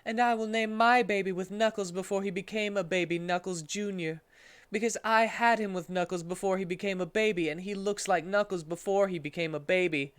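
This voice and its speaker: A man's voice: high voice, fairly even intonation, a little fast, clean tone, voice pushed up, close to an everyday voice. A man voicing a proud young female bat in a dramatic fan-fiction reading. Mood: proud, declaring. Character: Rouge, proud female bat, a teenage mother.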